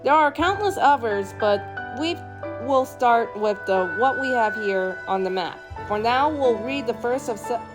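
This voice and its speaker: A woman narrating a book in a deliberate, expressive, dramatic storytelling style. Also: fairly high pitch. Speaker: narrator and host of a reading series.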